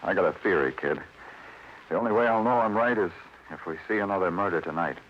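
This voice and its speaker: An American actor playing a weary, hard-boiled New York police detective in a terse, brooding, sardonic 1940s radio style. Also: male voice, deep voice, fast, a little hiss or hum, lightly acted. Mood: businesslike. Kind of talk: 1940s radio crime acting, dramatic narration. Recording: dull vintage radio recording, faint music.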